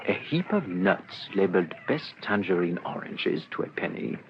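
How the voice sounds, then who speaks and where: An actor playing a methodical, dryly witty French police inspector in a radio drama, speaking formally and courteously. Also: male voice, low voice, sing-song, moderate pace. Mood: musing. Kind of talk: old-fashioned radio drama acting. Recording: dull lo-fi radio drama with noise.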